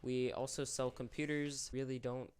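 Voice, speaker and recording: A man's voice: medium pitch, flat delivery, moderate pace, a little mellow, a little hiss or hum. A young man hosting an unscripted commentary, rambling and correcting himself, sick and losing his voice. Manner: rambling, self-correcting, candid. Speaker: YouTube channel host.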